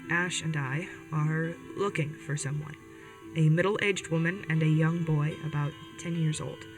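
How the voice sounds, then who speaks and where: A woman's voice: sits low, distant mic, rough recording. A woman playing an earnest, protective young rebel radio host in an audio drama, speaking hesitantly and directly to listeners. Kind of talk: podcast audio drama, conversational radio monologue.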